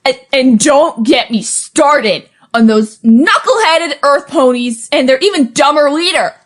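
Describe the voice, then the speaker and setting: Audio drama casting: woman to play cocky pegasus commander, highish voice, animated, impatient and bossy. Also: a little slow. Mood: angry boasting. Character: brash, bossy, proud pegasus military commander.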